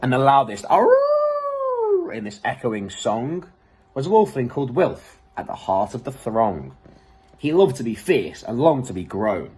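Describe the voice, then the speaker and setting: A man reading a children's picture book aloud in an expressive, dramatic way. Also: mid-range voice, moderate pace, breathy edge. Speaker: storybook reader.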